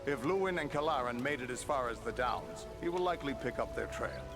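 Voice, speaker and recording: A man voices a dutiful ranger commander in a fantasy video game. His delivery is grave, formal and deliberate, with steady resolve. Music is clearly heard behind him. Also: medium pitch, lightly acted. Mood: earnest counsel. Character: dutiful male ranger leader, sober and resolute.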